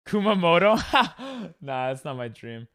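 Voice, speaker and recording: A man's voice: sits high, sing-song, slow, a bit bright, no echo, studio quality. A man hosting a livestream, chatting casually with a group of friends.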